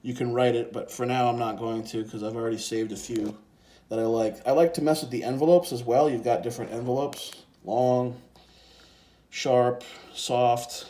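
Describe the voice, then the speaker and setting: Mellow-voiced man giving a casual gear tutorial. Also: mid-range voice, steady tone, moderate pace. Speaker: presenter of a music gear tutorial. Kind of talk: casual hands-on software and synth demonstration. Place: indoors beside a synthesizer keyboard. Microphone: handheld phone and separate handheld microphone.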